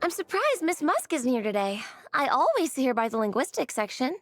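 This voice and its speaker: Young girl bookworm, high voice, eager, friendly, chatty, video game dialogue, light music underneath. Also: big pitch swings, brisk. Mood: curious. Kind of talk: English video game voice acting.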